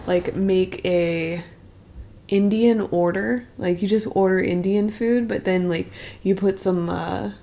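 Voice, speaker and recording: Playful woman cracking jokes, gravelly low-key voice. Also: fairly even intonation, slow pace, warm tone. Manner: jokey, playful. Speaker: co-host reacting to old footage. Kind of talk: casual reaction chat.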